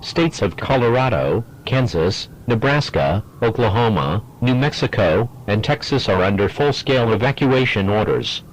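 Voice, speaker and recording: A man voicing a stern official emergency alert announcer, reading a formal scripted warning in a measured, impersonal manner. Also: on the low side, lively intonation, a little hiss or hum, lightly acted, low-quality recording. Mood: grave, impersonal.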